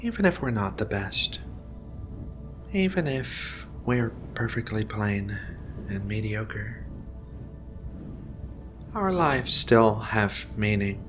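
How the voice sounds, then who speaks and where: Male ASMR roleplayer, gentle alien researcher: slow, soothing, reassuring delivery with music underneath. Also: on the low side, expressive. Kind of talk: soft-spoken ASMR roleplay.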